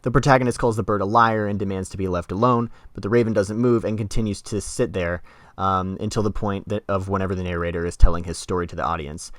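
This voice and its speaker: A man's voice: low-pitched, fairly even intonation, fast talker, no room sound. A man hosting a podcast, explaining literature in a casual, wordy way with informal asides. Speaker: podcast host.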